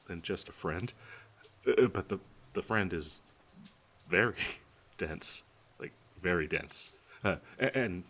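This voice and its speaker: A man playing a nervous, lovestruck and obsessive best friend in an intimate audio roleplay, stammering and haltingly giggling as he speaks to the listener. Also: deep voice, fairly bright tone, slight background noise, heavily acted.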